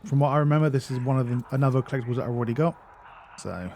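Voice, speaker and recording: Mellow-voiced man guiding through a game. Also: mid-range voice, racing, a little hiss or hum. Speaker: video game guide narrator. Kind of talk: casual walkthrough commentary.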